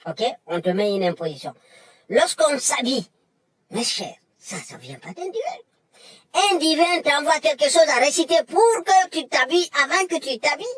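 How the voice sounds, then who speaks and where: A woman's voice: sits high, sing-song, moderate pace, no room sound. A woman delivering an emphatic, lecturing monologue that repeats its points. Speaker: commentator. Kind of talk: impassioned monologue.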